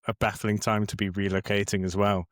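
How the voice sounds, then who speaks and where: A man's voice: fairly low pitch, level tone, brisk, dry recording, clean studio sound. A young man hosting a podcast interview, introducing his guest and asking questions with frequent restarts. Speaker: podcast host.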